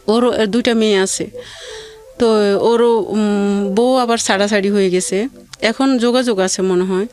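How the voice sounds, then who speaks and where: A woman telling her life story in a plaintive, rambling way with halting repeats. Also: medium pitch, steady tone, darkish tone, smooth, soft background music. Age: adult mother of two. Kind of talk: personal hardship testimony in conversation.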